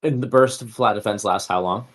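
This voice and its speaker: A man's voice: on the low side, a little fast. A man taking part as a player in a tabletop game, casually asking questions.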